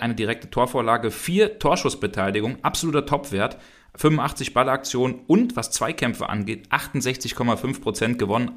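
A man from Hesse with a slight Hessian accent, co-hosting a football podcast and chatting about betting odds with plenty of fillers. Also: mid-range voice. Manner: chatty, quick, many fillers. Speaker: podcast co-host. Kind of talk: casual football betting chat.

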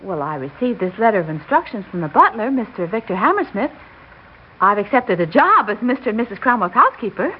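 An actress playing a polite but plain-spoken new housekeeper in 1960s radio drama style. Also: female voice, mid-range voice, sing-song, a little fast, natural voice. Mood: curious, polite. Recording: dull, noisy 1960s radio recording.

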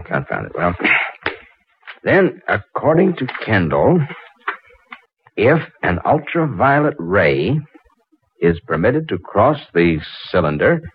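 Deep, swooping, flustered radio-drama inventor. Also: male voice, slight background noise, full character voice. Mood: smitten musing. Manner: hesitant, muttering, stammering. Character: eager, flustered, smitten young male inventor. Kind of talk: 1940s radio drama acting. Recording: muffled old 1940s radio recording.

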